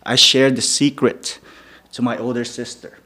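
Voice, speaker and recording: A man's voice: medium pitch, level tone, a little slow, sharp tone. A man from the Philippines whose first language is Filipino, telling personal stories to a live audience and often checking in with "okay?". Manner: keeps checking in with the crowd with "okay?". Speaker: speaker. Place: venue before a live audience.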